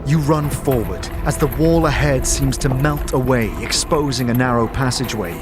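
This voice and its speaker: A man's voice: medium pitch, normal speed, music in the background, natural voice. A man narrating a fantasy adventure as a storyteller, in scripted dramatic narration. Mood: urgent.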